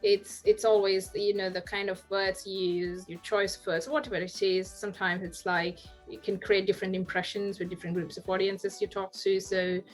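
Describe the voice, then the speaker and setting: A woman in her thirties speaks as a guest over a video call from home. Her pitch stays level and even, and she talks earnestly, often restarting sentences as she hunts for words. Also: normal speed, few pauses, soft background music. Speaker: podcast guest. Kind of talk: casual video-call interview. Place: home room.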